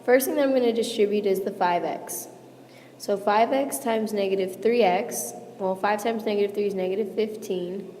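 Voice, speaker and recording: A woman teacher with a hoarse, failing voice, patiently walking students through a math lesson step by step and checking in with "okay?". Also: medium pitch, moderate pace, mellow, big-room sound, slight background noise. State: sick, losing her voice. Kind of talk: recorded classroom lesson.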